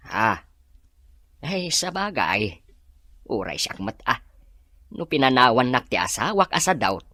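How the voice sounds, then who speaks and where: A man's voice: mid-range voice, sing-song, measured pace, sharp tone, no room sound, studio quality, heavily acted. An actor playing an elderly, worried village father in an Ilocano audio drama, with an Ilocano accent. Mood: surprised, fretful. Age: older.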